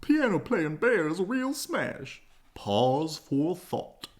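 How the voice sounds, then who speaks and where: A man voicing an excitable newspaper headline crier in a storybook, showy and declamatory. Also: on the high side, takes it slowly, pitched above the performer's own voice. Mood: proclaiming.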